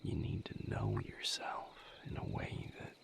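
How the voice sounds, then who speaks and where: A man playing a gentle, patient vampire healer in an intimate audio roleplay, speaking softly and reassuringly in breathy vocal fry with long pauses. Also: whispered, lightly acted. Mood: calm, reflective. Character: gentle, patient male vampire healer mentoring his mate.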